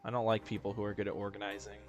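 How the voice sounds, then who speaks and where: A man's voice: lowish voice, monotone, very fast. A man giving casual, slangy commentary on a video game and reading its text aloud with joking asides. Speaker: game streamer. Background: faint game music.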